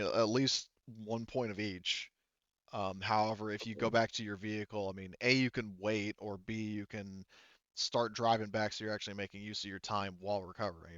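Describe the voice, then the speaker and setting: A man acts as game master in a tabletop roleplaying session, talking casually and working things out aloud. His voice is on the low side and smooth. The recording is dry and a little dull. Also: a bit bright, dry recording. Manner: informal, thinking aloud.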